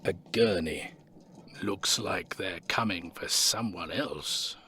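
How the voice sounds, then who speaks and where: An actor playing a brisk, self-important retired soldier in an audio comedy, with a husky, emphatic delivery. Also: male voice, sits low, sharp tone, slight background noise, exaggerated voice. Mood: taken aback. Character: elderly ex-army man, self-important, brisk, grumbling planner. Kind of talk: audio comedy drama acting.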